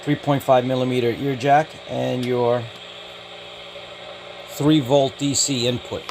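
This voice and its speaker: A man's voice: mid-range voice, little pitch movement, slow pace, background noise. A man giving a casual hands-on product review in a matter-of-fact way, listing details in short clipped phrases. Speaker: radio hobbyist reviewer. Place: indoors.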